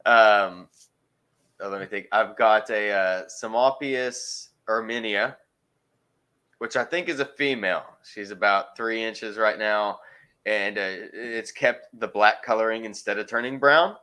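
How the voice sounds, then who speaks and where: A man's voice: fairly low pitch, measured pace, pushed and bright, clean tone. A man chatting casually as an interview guest, rambling and searching for words with frequent ums. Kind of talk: casual chat.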